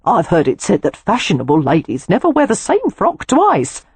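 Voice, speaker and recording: A woman's voice: medium pitch, wildly swooping pitch, moderate pace, darkish tone, slightly rough, no room sound, voice pushed up, full character voice. A woman voicing a shrewd older housekeeper who speaks in a pointed, wry way.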